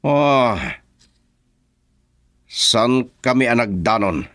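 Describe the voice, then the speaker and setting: An actor playing a gruff, domineering boss in an Ilocano radio drama, brusque and scolding. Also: male voice, medium pitch, expressive, very slow, a bit bright, no room sound, studio quality. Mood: brisk, bossy. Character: gruff, bossy male political operator barking at his driver.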